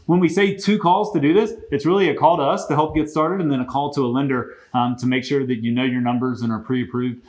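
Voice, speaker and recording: A man hosting a casual business interview, explaining things conversationally with a gently teasing manner. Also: mid-range voice, steady tone, quick pace, few pauses. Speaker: host.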